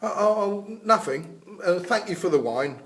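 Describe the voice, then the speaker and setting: A man's voice: high voice, animated, normal speed, fairly bright tone, slight background noise. A man playing a polite, fussy doctor with a courteous, hesitant and familiar manner in a script reading. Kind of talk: audio drama script reading.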